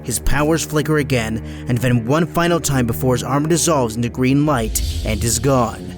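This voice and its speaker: A man's voice: mid-range voice, normal speed, music in the background. A man narrating a scripted action audio drama in dramatic storytelling style.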